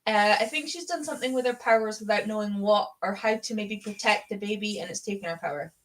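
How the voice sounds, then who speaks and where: A woman's voice: medium pitch, fast talker, rough recording. A woman with a Scottish accent chatting casually and fannishly about books as host of a live readalong, with the odd swear word. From Scotland. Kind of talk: casual book chat.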